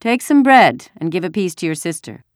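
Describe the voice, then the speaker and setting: A woman voicing an anxious but gently firm wartime mother in a storybook, with a worried manner. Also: lowish voice, big pitch swings, moderate pace, no room sound, studio quality, voice pushed up. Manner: worried, murmuring.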